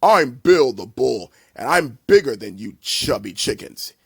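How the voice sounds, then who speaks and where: A man voicing a big, boastful young bull in a storybook, loud, deep and taunting. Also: very animated, a little slow, creaky, slightly rough, no echo, clean studio sound, exaggerated voice. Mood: menacing, bossy.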